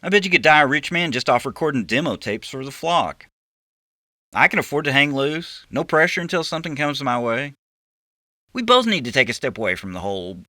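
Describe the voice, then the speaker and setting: A man's voice: mid-range voice, animated, brisk, pushed and bright, no echo, clean studio sound, voice pushed up. A man voicing an anxious, cautious sidekick to a con, protesting and reasoning in an audiobook story. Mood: pleading.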